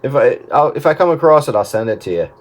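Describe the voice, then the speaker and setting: A man chatting casually and a bit haltingly over two-way radio. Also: medium pitch, animated. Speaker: amateur radio operator. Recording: radio transmission with faint static.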